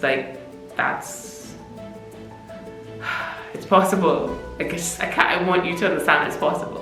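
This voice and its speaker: A woman's voice: low voice, fairly bright tone, echoey room, poor audio. A young woman with a Caribbean accent that mixes Trinidadian and Barbadian, talking to camera in a chatty, candid vlog. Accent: Caribbean mix of Trinidadian and Barbadian. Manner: chatty, candid, self-deprecating. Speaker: vlogger. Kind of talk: casual talking-head vlog. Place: room in Mexico City.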